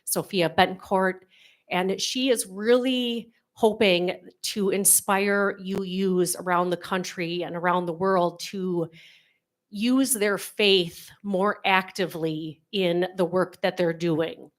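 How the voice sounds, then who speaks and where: A woman, the congregation's president, giving an earnest announcement to the congregation with many pauses and fillers. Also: on the low side, fairly even intonation. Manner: earnest, with many ums and restarts.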